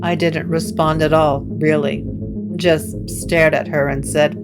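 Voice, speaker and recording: A woman's voice: low-pitched, level tone, takes it slowly, voice pushed down. A woman voicing a hesitant, subdued father in his early fifties, anxious and remorseful, in a gothic audio drama. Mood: anxious, regretful. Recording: clear music bed under the voice.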